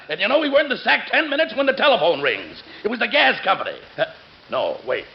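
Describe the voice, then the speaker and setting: A man playing an oblivious, cheerfully overbearing neighbor who rambles excitably in old-time radio comedy style. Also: high voice, a little fast, vocal fry, heavily acted. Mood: cheerfully rambling. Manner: rambling, excitable, seeking confirmation. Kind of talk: 1940s-50s radio comedy acting. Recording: noisy, muffled vintage radio recording.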